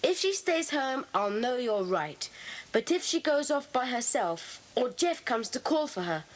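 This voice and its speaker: A woman's voice: sits high, big pitch swings, unhurried, low-quality recording. A woman playing an earnest, determined English schoolboy with a purposeful, organising manner in an audio drama. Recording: hissy old audio cassette.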